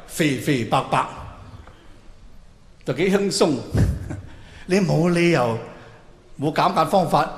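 A middle-aged man with a Hong Kong Cantonese accent performing a stand-up monologue, colloquial and theatrical, throwing questions out to his listeners. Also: a little high. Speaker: stand-up comedian. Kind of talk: stand-up comedy monologue to a live audience. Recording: echoey hall with long reverb, faint noise.

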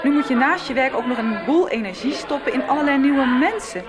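A woman's voice: high-pitched, brisk, close to an everyday voice. An actress playing a blunt, emotional teenage schoolgirl in a naturalistic radio soap. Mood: blunt, casual. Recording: noisy school scene with crowd behind.

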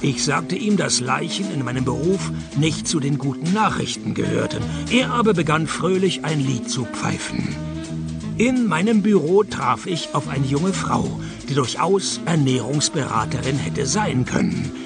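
A man playing a cynical, deadpan private detective and narrator in a radio play. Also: a little high, measured pace, vocal fry, over loud music, full character voice. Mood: laconic narration. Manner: deadpan, sardonic.

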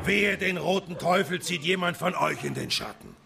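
An actor in a radio play for children playing a cruel, domineering tanner boss who barks orders and threats. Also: male voice, high voice, moderate pace, gravelly. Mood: angry, threatening.

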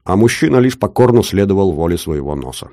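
A man reading a first-person story aloud in a measured, wry storytelling manner. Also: low-pitched, expressive, mellow, no echo, studio quality. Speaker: audiobook narrator. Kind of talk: first-person audiobook narration.

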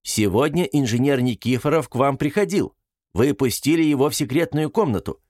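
A male narrator reads a female investigator in an audiobook story. The questions are calm and probing, firm yet sympathetic, delivered softly. The recording is dry. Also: mid-range voice, a little fast, no echo, pitched above the performer's own voice, natural voice.

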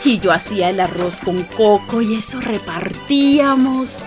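Actress as colombian neighbour lady narrating the past, normal pitch, music under it, radio soap storytelling. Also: animated, unhurried, natural voice. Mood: reminiscing. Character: woman neighbour recalling her activist friend.

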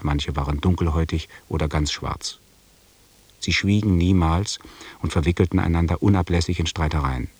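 Rauer, sehr tiefer Erzähler. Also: male voice, a bass voice, darkish tone, slightly rough, no echo. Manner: measured, factual. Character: male expedition chronicler, sober and observant. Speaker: narrator in a radio drama. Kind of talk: scripted descriptive narration.

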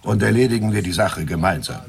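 An actor playing a pompous, vain police chief in a German radio drama, self-important and declamatory. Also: male voice, on the low side, quick pace, creaky. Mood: brisk, self-important.

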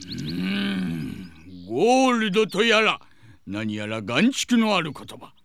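A man's voice: high voice, big pitch swings, takes it slowly, gravelly, a bit bright, no room sound, faint music behind, full character voice. A male actor playing a blustering, comical old martial-arts master in an audio drama, using archaic old-man speech. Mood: solemn.

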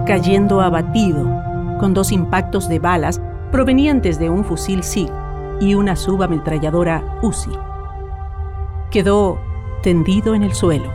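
A narrator delivers a scripted documentary account. The voice is mid-pitched and sits over loud music.

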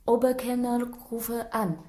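An actress playing a Chinese cleaning woman in a radio drama, with a Chinese accent and halting, broken, very short answers. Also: fairly high pitch, flat delivery, dark tone, close to an everyday voice. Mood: timid, terse. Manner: halting broken sentences, very few words. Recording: roomy echo.

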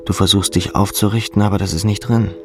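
A man's voice: lowish voice, steady tone, fast talker. A man reading second-person literary narration as an audiobook narrator.

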